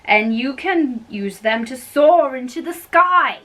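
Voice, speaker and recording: Gloomy lovesick youth for stage play, read by a woman: highish, slow, plaintive, distant mic. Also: animated, a bit bright, full character voice. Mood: mournful. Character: sad lovesick young man, gloomy and reluctant. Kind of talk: amateur dramatic reading of a stage play.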